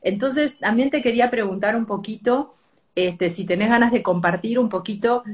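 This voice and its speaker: A woman with a Rioplatense accent hosting an interview, speaking gently and hesitantly with many fillers. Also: a little high, moderate pace. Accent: Rioplatense Spanish. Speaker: interviewer, host. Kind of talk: interview, conversational.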